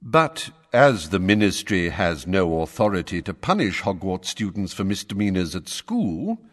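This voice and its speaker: A man voicing an old wizard who speaks mildly, politely and in measured sentences. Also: low-pitched, sing-song, fairly bright tone, long reverb tail. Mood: calm, courteous. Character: calm, courteous old male wizard, quietly firm.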